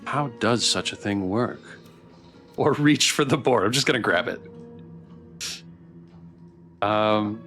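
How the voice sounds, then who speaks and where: A man's voice: fairly low pitch, takes it slowly, pauses often, a bit bright, faint music behind. A man giving casual livestream commentary as he plays a game, sometimes reading choices aloud. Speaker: streamer playing a game.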